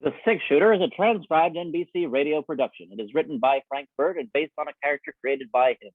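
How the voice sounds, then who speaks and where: A man's voice: a little high, fast, no echo, narrow, tinny audio. A man announcing scripted credits in 1950s radio style, through a radio filter. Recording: thin, band-limited old radio sound.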